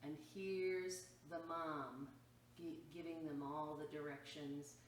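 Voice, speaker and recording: A woman reading a children's picture book aloud as a storytime reader, expressive and exclaiming, pausing to point out the pictures to children. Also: low voice. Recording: roomy echo, distant mic, faint noise.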